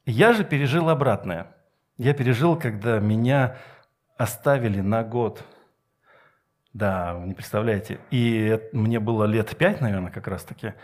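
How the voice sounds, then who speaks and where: A middle-aged man giving a Sunday sermon with personal anecdotes, speaking emphatically with deliberate pauses and rhetorical questions. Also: lowish voice. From Soviet Union. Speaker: preacher.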